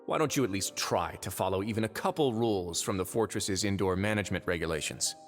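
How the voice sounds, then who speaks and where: An actor playing a composed, authoritative male boss in a video game, drily teasing, polite and unhurried. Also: lowish voice, long reverb tail, soft background music, lightly acted. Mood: wry, composed.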